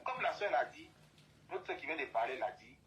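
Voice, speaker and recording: A man's voice: fairly high pitch, lively intonation, a little fast, highs cut off, heavy background noise. A man from Côte d'Ivoire, speaking as a guest in an impassioned talk and repeating and restating his phrases for emphasis.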